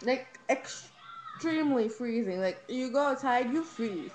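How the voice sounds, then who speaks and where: A woman's voice: expressive, measured pace. A young woman giving a casual, rambling home tour on a webcam, informal and a little hesitant as she goes. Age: teenager to young adult. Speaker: vlogger. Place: rooms of a small house. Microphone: handheld webcam, moved around. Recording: noisy low-quality webcam audio.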